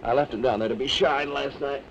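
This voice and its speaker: A man's voice: medium pitch, steady tone, fairly quick, gravelly, fairly bright tone, poor audio. An actor playing a cheerful young man who jokes his way through a mix-up on a sleeper train. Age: young adult. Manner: cheerful, joking. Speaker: train passenger. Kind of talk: 1950s television drama acting. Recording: hissy 1950s film soundtrack.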